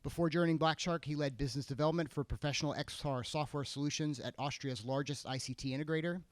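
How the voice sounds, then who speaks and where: A man opens a conference session with housekeeping notes and a speaker introduction. His voice is fairly high and smooth, recorded dry, and he keeps things moving with frequent filler sounds. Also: fairly even intonation, fast talker, no room sound. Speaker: session moderator. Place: conference hall.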